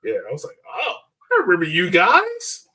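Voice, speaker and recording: A man's voice: sits high, wildly swooping pitch, normal speed, pushed and bright. A man hosting a casual sports podcast, talking in a chatty, informal way.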